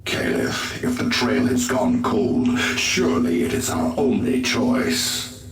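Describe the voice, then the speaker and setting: Game elf lieutenant: earnest, formal, low voice, echoing and somewhat distant over music. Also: male voice, very animated, slow pace. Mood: earnest, resolute. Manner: formal, solemn, resolute. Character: earnest, impetuous male elf warrior lieutenant. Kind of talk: scripted video game acting. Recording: echoey, distant, music behind.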